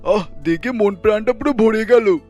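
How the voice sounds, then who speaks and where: A middle-aged cartoon father-in-law acted by a man, pitched very high over background music. He is the plain-spoken head of a household. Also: moderate pace, darkish tone, lo-fi sound. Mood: contented. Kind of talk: Bengali cartoon family drama acting.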